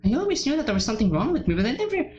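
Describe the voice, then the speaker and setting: Woman acting an unstable lovesick teenage girl, wildly swinging pitch. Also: very animated, very fast, a little mellow, highs cut off. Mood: horrified, anguished. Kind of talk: dramatic fan-fiction reading. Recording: roomy echo with faint music behind.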